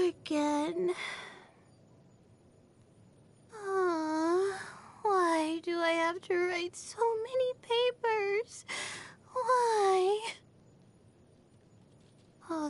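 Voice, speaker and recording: A woman's voice: very high voice, very slow, exaggerated voice. A woman voicing a sleepy, anxious young student stargazer in an anime game, hesitant and drowsy. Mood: tired, distressed. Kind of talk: anime video game voice lines.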